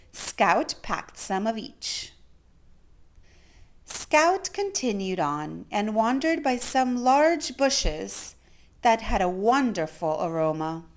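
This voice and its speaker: A woman with a fairly high voice reads a children's story aloud. Her delivery is theatrical and very animated in pitch. She goes very slowly, stretching important words for young listeners. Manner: theatrical, with gasps and drawn-out emphasis. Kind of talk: expressive picture-book read-aloud.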